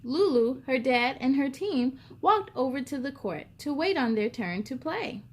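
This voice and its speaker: A woman's voice: sits high, lively intonation, a little slow. A woman hosting a read-aloud for young children, telling picture-book stories expressively and speaking straight to her listeners.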